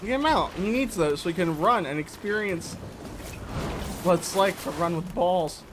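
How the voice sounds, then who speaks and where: A young man with a fairly high voice, chatting loosely and jokingly while playing a game on stream. His tone stays casual and teasing, and a faint hiss sits under the voice. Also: animated, moderate pace. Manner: joking, laid-back banter. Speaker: streamer co-host. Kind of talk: casual gaming chat.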